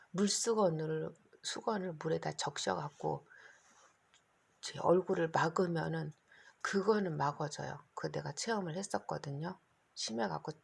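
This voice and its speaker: Hoarse, gravelly low-voiced middle-aged woman. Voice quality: gravelly, husky. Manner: rambling, anxious, talks in long run-on stretches. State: hoarse, keeps clearing throat. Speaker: vlogger. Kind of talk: casual monologue to camera. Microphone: phone.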